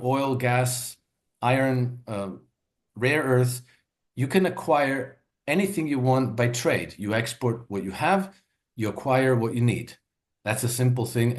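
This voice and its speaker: A man who grew up in Croatia, a market analyst guest, explaining his views in an interview with frequent fillers and emphatic stresses. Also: mid-range voice. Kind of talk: interview conversation.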